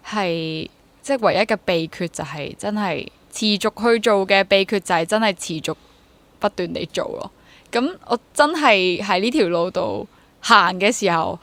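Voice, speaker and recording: A young woman with a Hong Kong accent shares her experiences in a conversational interview. Her voice sits at an average pitch for a woman, and she mixes English words into her sentences as she explains things. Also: a bit bright, dry recording. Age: 20s. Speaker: interview guest, freelance stage actor.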